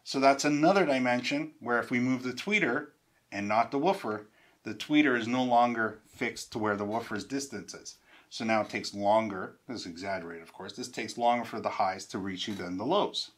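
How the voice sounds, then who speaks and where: A man's voice: mid-range voice, moderate pace, fairly bright tone. A man in his fifties giving an informal, explanatory product talk in an emphatic, conversational, step-by-step way. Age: 50s. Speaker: product reviewer and presenter. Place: indoor studio room.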